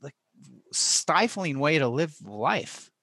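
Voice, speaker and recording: A man's voice: on the high side, very animated, slow, gravelly, pushed and bright, breathy edge, no echo. A man hosting a podcast interview in casual chat, talking in an informal, rambling way and airing his opinions. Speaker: podcast host interviewing an author.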